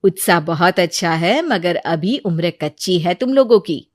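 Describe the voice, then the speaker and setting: A woman voicing a composed, gracious queen with a regal, measured manner in a storybook tale. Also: lowish voice, animated, dry recording, studio quality, pitched below the performer's own voice. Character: composed, gracious queen testing young petitioners.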